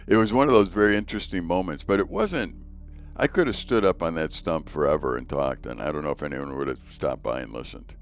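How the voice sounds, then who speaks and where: A man hosting a faith podcast, with a very deep voice, talking earnestly and telling stories in casual conversation. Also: lively intonation, a little fast, soft background music. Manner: earnest, storytelling, repeats words as he goes. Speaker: podcast host.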